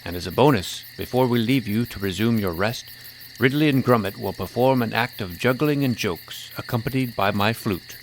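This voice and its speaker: Greedy trickster jester, acted by a man, showy and teasing, on the low side, for a fantasy audio story. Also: normal speed, background noise, close to an everyday voice. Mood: grand, bargaining. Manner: showy, teasing, savouring words. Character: sly, theatrical male jester, greedy trickster.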